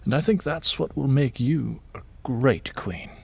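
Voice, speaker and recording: Weary widowed king and father, male actor, audio drama. Creaky voice, tender, halting, sentimental delivery. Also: medium pitch, expressive, exaggerated voice. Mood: tender, earnest.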